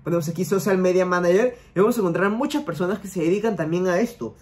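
A man's voice: high voice, quick pace, darkish tone. A young man hosting a screen-recorded tutorial from a room at home, talking straight to the viewer with repetitive, step-by-step directions. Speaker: online money-making YouTuber. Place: home room, gaming chair.

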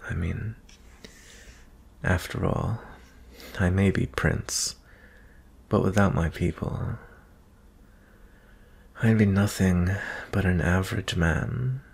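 A man playing a gentle, weary young prince in a fantasy ASMR roleplay, speaking softly and intimately in a breathy, husky voice with frequent pauses. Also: sits low, steady tone, heavily acted. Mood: earnest, burdened. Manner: slow, hushed, pausing often.

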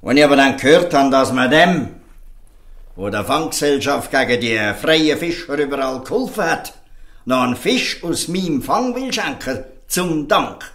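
An earnest fisherman in a Swiss German radio play. His mid-pitched voice rises and falls in lively swings as he argues with defiant, emphatic conviction. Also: normal speed. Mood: earnest, firm. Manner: emphatic, rhetorical pleading. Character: earnest, defiant fisherman defending outcast children. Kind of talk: audio drama dialogue in dialect.

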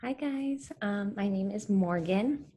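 A woman's voice: medium pitch, takes it slowly, mellow, slightly rough. A young woman casually introducing herself as a workshop participant on a video call from a room at home. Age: young adult.

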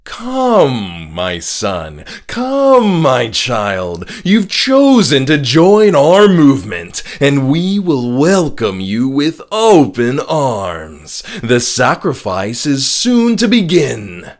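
Man performing a fanatical cult pastor, horror audiobook, drawn-out preaching, exultant, booming, very slow delivery. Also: huge pitch swings, exaggerated voice. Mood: beckoning, exultant. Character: fervent, menacing cult pastor rallying his congregation.

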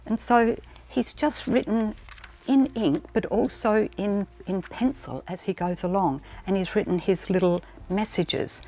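A woman's voice: mid-range voice, unhurried, warm tone. A woman, a librarian presenting to camera in a prepared style. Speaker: librarian and exhibition curator presenting. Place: indoors in a large archive storage room among shelving.

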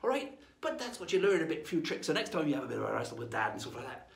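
A man's voice: on the high side, sing-song, very fast, gravelly, slight background noise. Man playing a jolly, swooping old pirate storyteller. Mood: chatty, instructive. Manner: rambling, theatrical, folksy, checks in with "right?". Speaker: host of pirate-themed lessons. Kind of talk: playful in-character storytelling and lesson. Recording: roomy echo.

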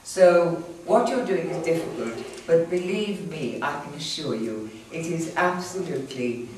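A woman's voice: deep voice, a little slow, a little mellow, slight background noise. An older woman from Wales, a native Welsh speaker, giving a gracious speech to an audience in a small hall, recorded from a distant camera. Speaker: guest speaker. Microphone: far camera, no mic. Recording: echoey room from far off.

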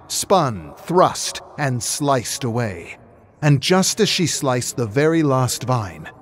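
A man reading a scripted story aloud as a narrator, with theatrical, suspenseful delivery. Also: medium pitch. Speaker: narrator reading game lore.

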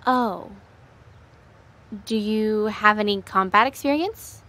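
A woman's voice: mid-range voice, slow pace, pushed and bright. A woman playing a composed alien fugitive who leads her crew in an audio drama. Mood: composed, urgent.

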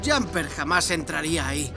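A woman's voice: deep voice, animated, moderate pace. A woman voicing an earnest, doubting young horse rider in a children's cartoon, with emphatic delivery. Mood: doubtful. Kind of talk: animated children's cartoon dialogue. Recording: loud music under the voice.

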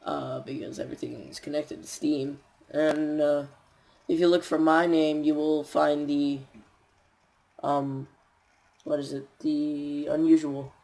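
A young man with a raspy voice and a stuffy nose, rambling tiredly with many fillers as he comments on his gameplay. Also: fairly high pitch, level tone, measured pace, a little mellow. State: stuffy nose, sore throat.

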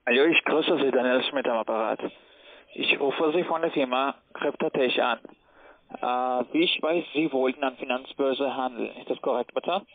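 A man speaking non-native German, reading through a scripted sales pitch on a cold call. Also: normal speed. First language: not German. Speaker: cold caller selling trading. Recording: narrow, muffled phone line.

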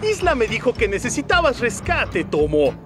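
A man's voice: extremely high pitch, huge pitch swings, moderate pace, full character voice. A man voicing a friendly, easygoing male locomotive in a Latin American Spanish cartoon dub. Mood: easygoing, amused. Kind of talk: animated children's series dubbing. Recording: music behind the voice.

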